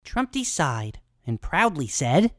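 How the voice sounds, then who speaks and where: A woman's voice: deep voice, big pitch swings, slow pace, no room sound, studio quality. A woman narrating a rhyming storybook tale in a theatrical manner.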